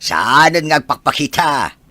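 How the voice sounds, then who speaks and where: An actor plays an elderly Ilocano grandfather in an audio drama. He grumbles in a forceful, raspy voice, pitched a little high and recorded dry. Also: sing-song, measured pace, dry recording, heavily acted. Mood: grumbling. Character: elderly grandfather, grumbling about his son.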